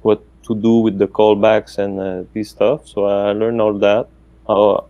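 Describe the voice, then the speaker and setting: Italian guy, low mellow voice, lots of uhs and restarts, chatting about research. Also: level tone, a little slow, dull audio. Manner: hesitant, many fillers and restarts. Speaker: researcher guest. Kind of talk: casual academic conversation.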